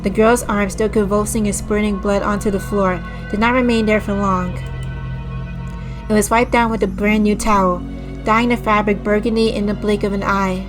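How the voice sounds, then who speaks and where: A woman streamer reading a visual novel aloud with a slightly raspy voice, restarting over stumbled words, clearing her throat often and breaking off into disgusted asides to her chat. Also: medium pitch, level tone, moderate pace. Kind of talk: slow dramatic read-aloud with casual asides to chat. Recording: music playing clearly behind the voice.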